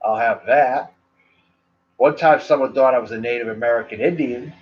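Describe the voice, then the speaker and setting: A man with a Maine accent rambles casually to his livestream viewers, drifting from thought to thought with plenty of "like" and "you know." His voice sits at an ordinary middle pitch. The audio is dull and muffled, like a cheap stream mic. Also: moderate pace. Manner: meandering, loose, full of "like" and "you know". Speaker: cigar reviewer and livestream host.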